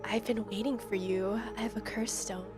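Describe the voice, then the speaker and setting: Female player acting eerie threatening woman, commanding, condescending, impatient, horror game character, soft music underneath. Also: medium pitch, steady tone, moderate pace, lightly acted. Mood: quietly threatening.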